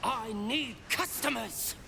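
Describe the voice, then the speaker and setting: Creaky, high-pitched little goblin girl. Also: takes it slowly, background noise. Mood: gleeful, pushy. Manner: broken grammar, childlike bluntness. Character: small scrappy goblin girl merchant, bloodthirsty and pushy. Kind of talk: video game character acting.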